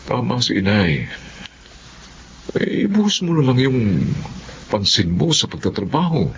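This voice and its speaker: An actor with a Filipino accent playing a practical, supportive older brother giving advice in a radio drama. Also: male voice, mid-range voice, very animated, a little slow. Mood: urging. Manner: advising, persuasive. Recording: dull lo-fi audio, faint noise.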